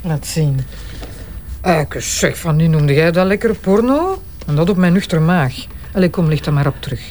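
A man's voice: a little high, very animated, normal speed, darkish tone, a little hiss or hum. An actor playing a questioning, dryly disapproving investigator in a Flemish crime drama, colloquial in manner, a man's voice. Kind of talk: naturalistic Flemish radio crime drama acting.

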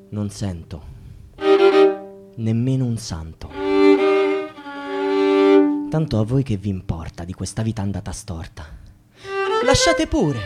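An actor playing a despairing student who prays in vain, in a declamatory, poetic radio drama monologue that moves between hushed and shouted. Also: male voice, high voice, full character voice. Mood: feverish, defiant. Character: despairing student trapped in a system, praying in vain.

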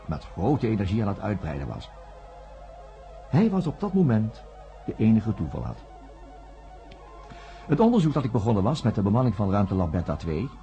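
A man's voice: fairly low pitch, mellow. A man playing an earnest, self-doubting psychiatrist in a radio drama, reflective and at times hesitant. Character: earnest, self-doubting younger psychiatrist, former student of a professor. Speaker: narrator and lead character. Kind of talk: radio drama acting with first-person narration.

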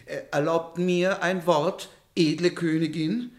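A man's voice: a little high, fairly even intonation, slow pace. An actor playing an obsequious, scheming royal huntsman in a radio play, with a servile, insinuating manner. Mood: sly, servile.

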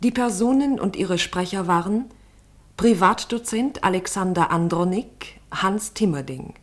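Female announcer for scripted radio credits: formal, measured, notably slow delivery, medium register. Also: mid-range voice.

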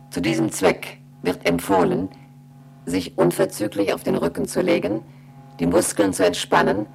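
A woman playing an impassive space station computer in a German radio play, reciting instructions in a gravelly, deadpan drone. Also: extremely low pitch, a little hiss or hum, heavily acted, poor audio. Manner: deadpan, bureaucratic, methodical.

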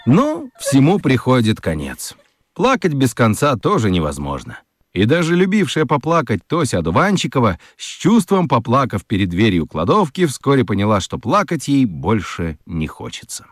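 A man narrating a children's radio play as an expressive storyteller. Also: medium pitch, moderate pace, dry recording, studio quality.

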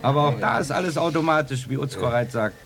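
An actor playing a wry, talkative old coachman pensioner with a creaky voice in a radio drama. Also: a little high, over a noisy crowd. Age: older. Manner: animated storytelling with chuckles and rueful pauses. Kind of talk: 1980s German radio drama acting.

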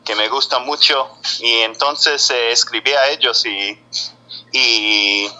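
A man whose first language is English, speaking halting Spanish in an interview and searching for words. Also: medium pitch, fairly even intonation, sharp tone, rough recording.

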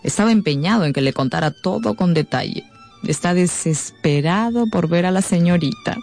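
An actress playing a frank, cheeky housemaid in a radio drama, plain-spoken and teasing. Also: female voice, fairly low pitch, wildly swooping pitch, normal speed, creaky, a little mellow, faint music behind, exaggerated voice. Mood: insistent. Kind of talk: Spanish-language radio drama acting.

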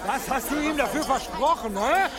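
A man playing a suspicious, belligerent goat farmer in a radio drama, gruff and accusing, with music and a crowd behind. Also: very high voice, animated, moderate pace. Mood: angry, scornful. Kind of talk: German radio drama acting.

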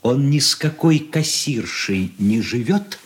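A man's voice: mid-range voice, animated, takes it slowly. A man playing a sly, mocking schemer in a radio drama, oily and insinuating with mock courtesy. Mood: sly menace. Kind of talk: Soviet radio play acting.

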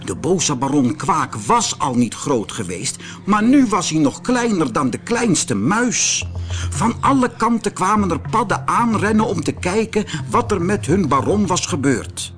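Expressive, slow-paced male fairy tale storyteller. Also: high-pitched, faint music behind. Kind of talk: scripted children's fairy tale narration.

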